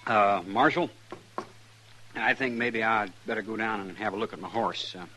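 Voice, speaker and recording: A man's voice: on the low side, moderate pace, bright, forceful tone. A man playing a polite, earnest young cowhand in a 1950s radio drama. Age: young adult. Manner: folksy, deferential. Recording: noisy old radio broadcast with clicks.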